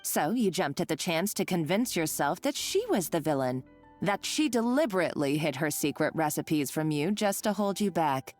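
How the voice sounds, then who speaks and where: A woman's voice: low voice, darkish tone. A woman voicing a scheming, spiteful villain in a video game, who talks in a taunting, condescending and bossy way. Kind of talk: game voice acting. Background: faint game music.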